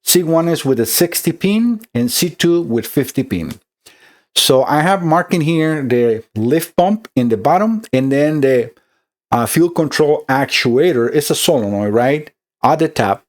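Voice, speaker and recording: A man's voice: fairly high pitch, expressive, a little slow. A middle-aged man from Costa Rica with a Costa Rican Spanish accent, conversationally explaining an electronics repair as a technician, with many fillers and restarts.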